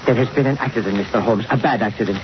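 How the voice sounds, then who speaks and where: An actor playing an agitated, deferential bath attendant in a 1940s radio drama, with halting, foreign-sounding phrasing. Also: male voice, mid-range voice, lightly acted. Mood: alarmed. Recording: muffled, noisy old radio recording.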